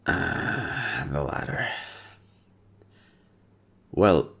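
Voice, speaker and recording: A young man with a gravelly, fry-heavy voice, relaxed and gently teasing. He speaks softly to a listener, as in an intimate audio roleplay. His pitch sits very low throughout. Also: extremely slow. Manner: laid-back, teasing, soft-spoken. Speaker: voice actor.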